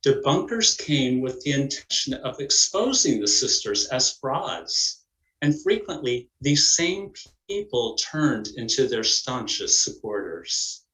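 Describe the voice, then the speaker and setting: A man presents in a formal, scripted manner. His mid-range voice is measured, like a narrator introducing a community stage production. The sound is noticeably roomy and echoing. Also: mid-range voice, fairly bright tone.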